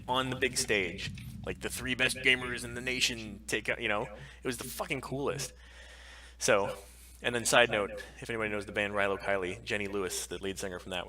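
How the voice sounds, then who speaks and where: A man's voice: on the low side, recorded from a distance. A man co-hosting a casual pop-culture live stream, talking in a sweary, enthusiastic, geeky way. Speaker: podcast co-host on a live stream.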